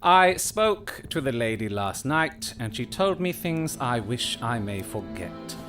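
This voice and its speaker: A man playing a cool, shrewd detective in an audio drama, speaking with formal, measured courtesy and dry irony. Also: medium pitch, big pitch swings, music in the background. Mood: grave, discreet. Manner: measured, formal, dryly ironic.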